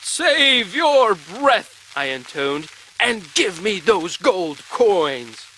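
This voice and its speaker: An actor playing a melodramatic male adventurer, narrating a perilous tale in florid, drawn-out phrases for a game. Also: high voice, big pitch swings, bright, forceful tone, full character voice, poor audio. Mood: desperate, grave. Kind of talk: dramatic first-person narration. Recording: rain and thunderstorm noise behind. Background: rain.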